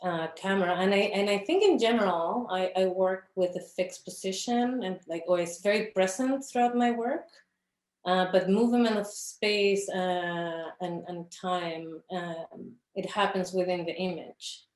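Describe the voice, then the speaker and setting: A woman's voice: medium pitch, unhurried, darkish tone, reverberant. A woman from Chile, an artist speaking in an interview who searches for her words with many fillers and restarts. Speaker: filmmaker, interview guest. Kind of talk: reflective conversation about her films.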